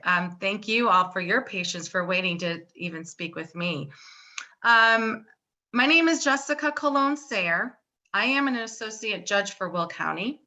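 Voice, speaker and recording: A woman's voice: mid-range voice, sharp tone. A woman in her forties, born in Puerto Rico and raised near Chicago, introducing herself as a judge and candidate on a video call, earnest and fluent with frequent ums. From born in Puerto Rico, raised in Chicago area. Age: 40s.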